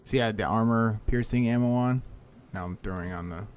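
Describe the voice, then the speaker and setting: A man's voice: fairly low pitch, fairly quick, darkish tone. A man giving casual, informal, sweary commentary while playing a video game. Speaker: gamer doing a playthrough. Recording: faint background noise.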